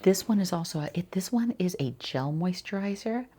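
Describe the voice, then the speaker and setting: A woman quietly playing a skincare consultant in an ASMR roleplay, chatting casually and reassuringly in a soft, breathy voice that often slips toward a whisper. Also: lowish voice, expressive, moderate pace. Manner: casual, rambling, reassuring the client.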